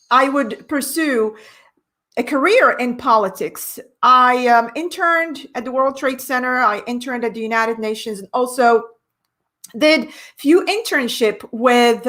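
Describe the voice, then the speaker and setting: A woman from Morocco with a fairly high voice, talking as a studio guest on a radio show. She speaks energetically and with enthusiasm, pausing to think along the way. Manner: energetic, enthusiastic, with thoughtful pauses. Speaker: guest, business owner. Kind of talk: radio interview.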